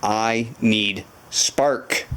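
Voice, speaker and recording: A man's voice: on the low side, drawn-out words, fairly bright tone, slight background noise. A man in his garage talking through a motorcycle repair to camera, thinking aloud with lots of fillers and restarts. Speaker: motorcycle restoration vlogger. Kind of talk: casual garage walkthrough to camera. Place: home garage.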